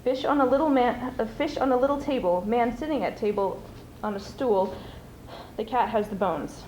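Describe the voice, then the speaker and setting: A woman's voice: a little high, moderate pace, a little mellow. Young woman storytelling in an echoey room. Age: teens or young adult. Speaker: student speech performer. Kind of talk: dramatic prose reading of a storybook. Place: school speech showcase before an audience. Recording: roomy, noisy amateur stage recording.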